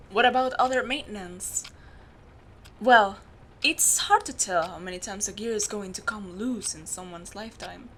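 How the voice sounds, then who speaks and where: A woman playing a meticulous, secretive steampunk clock repairer in an audio roleplay, explaining things patiently and in a measured way to the listener. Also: mid-range voice, fairly bright tone, a little hiss or hum. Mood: calm explaining. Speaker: amateur voice actor.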